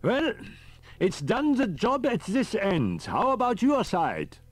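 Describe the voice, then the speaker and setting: A man voicing an excitable, precise male professor in an audiobook, speaking formally and deliberately. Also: sits high, no echo, voice pushed up, exaggerated voice. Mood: satisfied.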